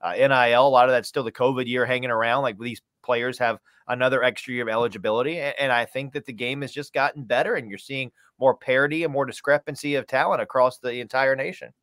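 A man's voice: mid-range voice, fairly even intonation, fast talker, hardly any pauses, a bit bright, no room sound. Dry-sounding man in casual sports talk. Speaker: radio play-by-play announcer as guest. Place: small room, indoors, video call.

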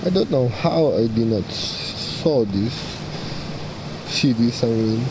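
A young man giving casual gameplay commentary, halting as he muses aloud, close to the mic. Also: mid-range voice. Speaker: gaming video host. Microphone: mic placed right in front. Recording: steady background hum and noise.

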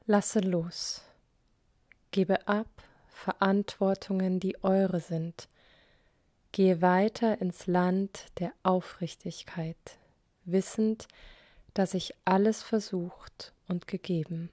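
Female poetry reader: extremely unhurried, warm soft tone, breathy line endings, measured pauses. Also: on the low side, fairly even intonation, dry recording, studio quality. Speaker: poet. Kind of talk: spoken poetry recitation in short phrases.

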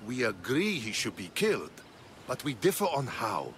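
An actor playing a principled Spartan warrior and spy in a video game, speaking earnestly and with measured drama. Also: male voice, medium pitch, big pitch swings, fairly bright tone, a little hiss or hum. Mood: composed. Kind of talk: video game dialogue acting.

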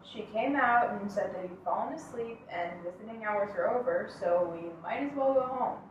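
A woman's voice: mid-range voice, animated, normal speed, muffled recording, lightly acted. A woman acting a dramatic monologue as a plain-spoken, wry woman reminiscing in a conversational, reflective way. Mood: subdued, sad. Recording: very echoey room, distant mic, faint noise.

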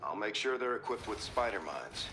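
An actor playing a brisk, commanding admiral in a video game briefing, formal and decisive. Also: male voice, on the low side, sing-song, background noise. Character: brisk, commanding male admiral giving battle orders.

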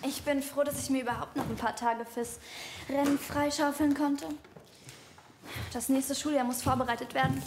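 An ambitious boarding-school teenager in a radio play. Her voice is high and she chatters with breezy self-importance. Clear noise runs behind her. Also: steady tone, moderate pace. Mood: breezy, self-important.

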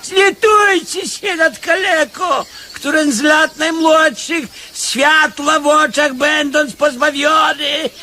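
An actor playing a wily, pompous church beggar in a radio comedy, declamatory, wheedling and mock-pious. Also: male voice, very high voice, steady tone, takes it slowly, few pauses, bright, forceful tone, a little hiss or hum, full character voice. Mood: loud, wheedling. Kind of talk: radio comedy play acting.

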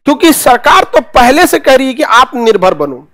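A man's voice: extremely high pitch, big pitch swings, normal speed, fairly bright tone. A man in his thirties with a Bihari accent, teaching in an informal, sarcastic, colloquial style full of rhetorical questions. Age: 30s. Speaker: coaching teacher. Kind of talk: informal classroom lecture with commentary. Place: indoor classroom studio at a presentation board.

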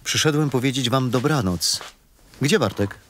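An actor playing a kindly grandfather who tells his grandchildren bedtime stories gently and expressively in a children's radio play. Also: medium pitch, moderate pace, over music, lightly acted. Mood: tender.

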